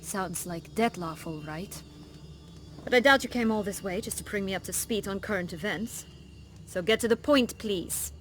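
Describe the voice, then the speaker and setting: A woman's voice: medium pitch, normal speed, a bit bright, faint music behind, lightly acted. Woman playing a cool, sardonic fantasy noblewoman. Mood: cool, skeptical. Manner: cool, commanding, mocking. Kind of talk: video game character acting.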